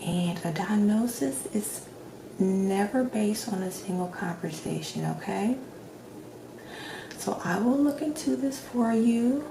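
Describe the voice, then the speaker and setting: Slow, soft-spoken ASMR woman. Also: mid-range voice, animated. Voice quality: soft, partly whispered. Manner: gentle, reassuring, clinician-like questioning. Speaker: ASMR creator playing a doctor in a roleplay. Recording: roomy echo, clear background noise.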